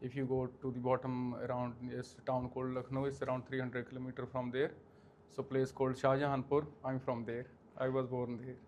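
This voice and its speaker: Indian man, 40s, flat delivery, hesitant guest on an interview, faint noise. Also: mid-range voice. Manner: halting, many fillers and restarts. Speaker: guest, franchise owner. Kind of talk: interview answers.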